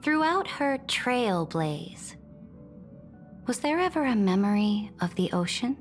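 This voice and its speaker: An actress playing an imperious, ambitious emperor in a video game, proud and calculating, with a regal, commanding delivery. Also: female voice, mid-range voice, sing-song, slow, in a large hall, faint music behind. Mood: knowing, measured. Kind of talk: scripted video game voice acting.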